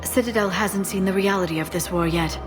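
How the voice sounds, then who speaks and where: An actress playing an earnest, composed young alien woman in a video game, measured and serious with gentle delivery. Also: medium pitch, music in the background, close to an everyday voice. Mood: relieved, gentle. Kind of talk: scripted game dialogue.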